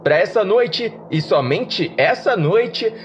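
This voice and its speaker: A man playing an upbeat, teasing small-town radio co-host in an audio horror story, with a bright announcer delivery. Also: sits high, expressive, slow pace, highs cut off, a little hiss or hum, pitched above the performer's own voice. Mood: upbeat, announcing.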